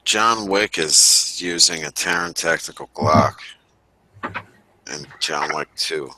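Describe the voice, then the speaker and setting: A man in casual group chat, delivering news in a deadpan way. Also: rumbling low, slow, low-quality recording.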